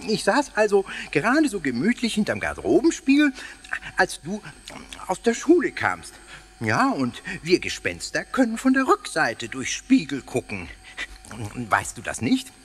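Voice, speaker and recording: Silly cheeky ghost for a kids audio play, high husky voice, swoops all over. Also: male voice, huge pitch swings, a little slow, fairly bright tone, full character voice. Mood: amused. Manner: theatrical, stammering over words, muddling them. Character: cheeky, playful, excitable old castle ghost, greedy for snacks. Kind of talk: children's radio play acting.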